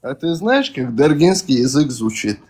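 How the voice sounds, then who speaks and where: A man's voice: medium pitch, very animated, normal speed, darkish tone, husky. A man with a Caucasian accent, calling in to a live voice chat, talking casually and rambling with many hesitations and restarts. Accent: Caucasian-accented Russian. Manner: rambling, hesitant, fillers and self-corrections. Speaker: caller on a live stream.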